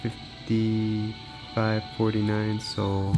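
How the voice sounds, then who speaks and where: A man with a fairly deep, creaky voice talks through game testing in a casual, improvised way. He mutters and thinks out loud as he goes. Light game music plays behind him. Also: monotone, extremely slow. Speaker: handheld gaming reviewer. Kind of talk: casual off-the-cuff gameplay testing commentary.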